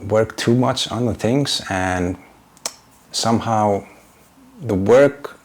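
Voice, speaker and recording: Slow, hesitant Icelandic-accented man. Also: lowish voice, slight background noise. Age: 40s. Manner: hesitant, searching for words, many filler words. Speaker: YouTuber and graphic designer. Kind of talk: casual unscripted vlog talk.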